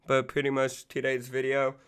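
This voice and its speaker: Young man in his twenties, a little worn-down voice from being sick, loose rambling talk, monotone delivery, smooth low rasp. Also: normal speed. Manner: rambling, loose, full of fillers and profanity. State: recovering from illness, losing his voice. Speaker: music channel host. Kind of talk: casual music commentary.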